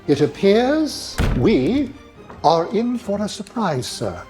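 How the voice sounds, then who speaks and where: An actor playing a polite, measured butler in an audio drama, formal and courteous. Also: male voice, sits high, very animated, darkish tone, faint music behind, heavily acted. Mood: wry, formal. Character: polite unhurried male butler to a duke.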